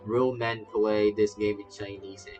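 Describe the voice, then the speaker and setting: A man's voice: lowish voice, little pitch movement, a little slow, a little mellow, lo-fi sound. A man livestreaming a game with casual, rambling commentary, often stammering and repeating phrases. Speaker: game streamer, VTuber. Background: faint game music.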